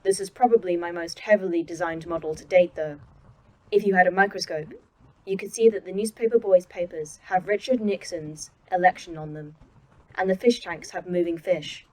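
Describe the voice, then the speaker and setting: A woman voicing a calm female AI that runs a secret facility, speaking in a precise, dry manner in an audio drama. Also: fairly low pitch, normal speed, lightly acted, rough recording. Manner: precise, dry, oddly proud of hobbies.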